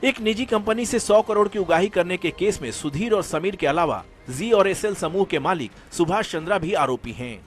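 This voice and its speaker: A man's voice: high-pitched, animated, normal speed, hardly any pauses. A man narrating a scripted news report as a reporter. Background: background music.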